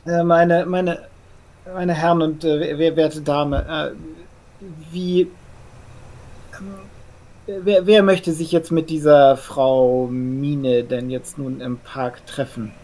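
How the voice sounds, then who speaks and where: A man's voice: on the high side, little pitch movement, drawn-out words, mellow. A man playing a fussy, flustered vampire scholar in an audio drama, stammering and repeating his words. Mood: flustered, polite. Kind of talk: improvised role-play audio drama.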